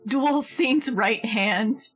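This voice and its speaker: A woman's voice: a little high, drawn-out words, over music. A woman livestreamer chatting casually as she plays a game, on a close mic. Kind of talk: casual game stream chat.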